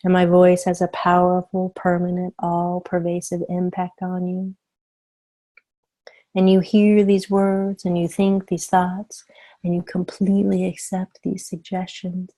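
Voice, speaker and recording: Low, mellow, very even-toned female hypnotherapist. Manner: soothing, deliberate, emphatic repetition. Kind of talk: scripted guided hypnosis affirmations.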